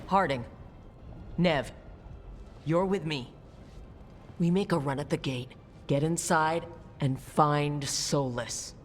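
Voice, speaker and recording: Resolute squad leader in reverberant hall. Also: female voice, deep voice, very noisy. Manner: firm, measured, commanding. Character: resolute squad leader giving battle orders. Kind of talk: video game cutscene acting. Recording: long reverb, music behind.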